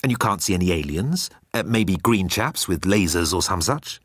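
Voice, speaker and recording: A man's voice: low voice, lively intonation, moderate pace, a bit bright, dry recording, studio quality. A man voicing a flustered, shifty she-devil who hesitates and is eager to please, in a comic audiobook.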